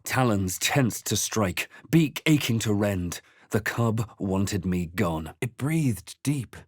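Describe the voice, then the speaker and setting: A man playing a brooding, wry adventurer hero in a fantasy game, speaking in a measured, grave, literary way. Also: medium pitch, dry recording, clean studio sound. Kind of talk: scripted game voice lines and narration.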